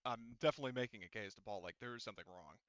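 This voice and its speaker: A man runs a tabletop session in a casual, thinking-aloud way. His voice is bright and smooth, and the recording is close and dry with a slightly muffled top. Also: on the low side, rapid-fire, no room sound. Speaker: game master. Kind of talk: casual chat running an online tabletop game. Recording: dry, slightly dull audio.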